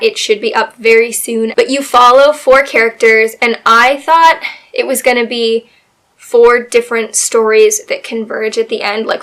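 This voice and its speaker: A woman with a slightly raspy voice, still getting over a cold, chats about her month's reading. She talks in a bright, somewhat high register, gushing and loose, full of little fillers. It sounds like a relaxed, personal book review. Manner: chatty and gushing, many fillers. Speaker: book video host. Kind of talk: casual book review chat.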